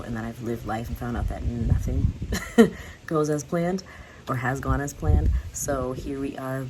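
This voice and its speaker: Low-voiced young woman vlogging candidly. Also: background noise. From United States. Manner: candid, rambling, laughs often. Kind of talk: casual talk to camera.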